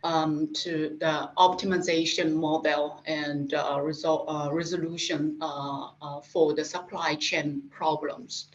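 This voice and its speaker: Interview guest: middle-aged woman, Japanese accent, low voice, halting delivery, dull lo-fi recording. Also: fairly even intonation, a little hiss or hum. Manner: halting, many fillers and restarts. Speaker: guest, industry specialist. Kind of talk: interview answers. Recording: dull lo-fi sound.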